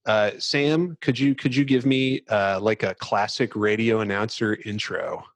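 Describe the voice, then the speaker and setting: A man's voice: lowish voice, unhurried, no echo. A man hosting a podcast, speaking in a casual but presentational way into a close microphone. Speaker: podcast host. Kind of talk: casual chat with dramatic book reading.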